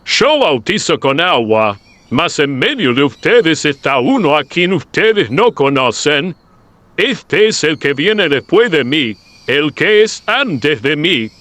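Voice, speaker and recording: A man's voice: fairly high pitch, sing-song, slow pace, fairly bright tone, no room sound, heavily acted. A man playing an earnest, solemn desert prophet in a Bible radio play, proclaiming with emphasis.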